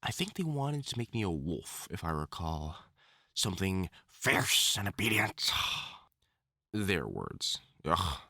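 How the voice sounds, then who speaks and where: A man's voice: sits low, big pitch swings, bright, forceful tone, slightly rough, no echo, clean studio sound, full character voice. A man playing a theatrical male wolf-mutant supervillain in love, teasing and wounded, in a scripted audio roleplay spoken to the listener. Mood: wistful, earnest. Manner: theatrical, taunting, dramatic swoops and pauses.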